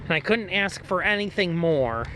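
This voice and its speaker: A man's voice: high-pitched, expressive, normal speed, noisy background. A man with an American accent casually presenting a hobby vlog, walking viewers through equipment. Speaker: amateur radio hobbyist presenter.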